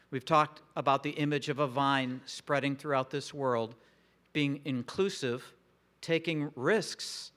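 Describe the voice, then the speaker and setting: A man preaching a sermon in a slow, reflective, intimate way with long pauses. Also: mid-range voice, fairly bright tone. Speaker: pastor.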